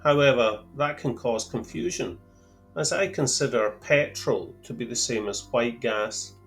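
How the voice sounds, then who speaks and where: A man with a Scottish accent explaining fuel terms to camera in a careful, deliberate way, with a lightly wry touch. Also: medium pitch, a bit bright, soft background music. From Scotland. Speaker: outdoor gear channel host. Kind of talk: measured explanatory talk to camera.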